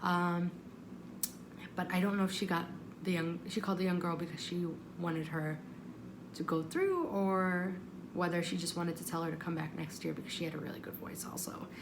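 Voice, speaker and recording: A woman's voice: on the low side, fairly even intonation, normal speed. A woman telling a story in a casual, chatty vlog style, animatedly acting out what people said. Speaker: vlogger. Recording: noticeable background noise.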